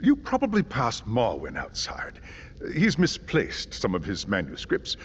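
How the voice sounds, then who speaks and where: Game casting: courteous fussy academic, hugely varied pitch, dull sound. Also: male voice, huge pitch swings. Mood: politely fussy. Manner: fussy, formal, measured politeness. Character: fussy, courteous older maester, a male scholar. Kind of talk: video game cutscene acting. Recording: dull audio with faint ambient noise.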